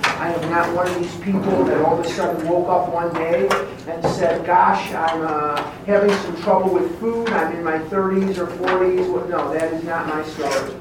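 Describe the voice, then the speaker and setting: A man with a Chicago American accent giving a personal testimony speech, emphatic and deliberate, repeating phrases for effect. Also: on the high side. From Chicago, USA. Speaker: guest speaker at recovery meeting. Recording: very echoey room, distant mic, clear background noise.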